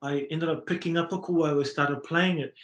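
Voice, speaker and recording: A man's voice: a little high, steady tone, normal speed, reverberant. A middle-aged man with a New Zealand accent, telling his story earnestly in a casual interview, with many fillers and restarts. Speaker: musician guest.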